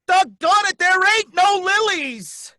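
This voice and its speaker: A folksy, jumpy cabbie, high and swooping, slow and anxious, bright dry sound. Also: male voice, animated, dry recording, exaggerated voice. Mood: panicky. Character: nervous jittery male cab driver, ghost. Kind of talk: old-time radio drama acting.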